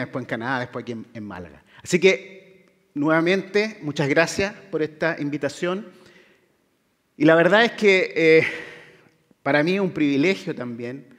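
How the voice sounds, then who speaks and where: A middle-aged man with a Chilean Spanish accent, giving a public science lecture in a conversational way, with fillers and tag questions. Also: mid-range voice. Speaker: guest lecturer, oceanographer. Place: large lecture hall with audience. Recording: hall reverb from a lecture room.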